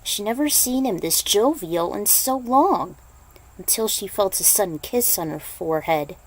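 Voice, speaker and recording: A woman's voice: medium pitch, animated, unhurried, low-quality recording. A woman narrating a fan fiction story as an amateur reader, sometimes stumbling over the text and correcting herself. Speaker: podfic narrator.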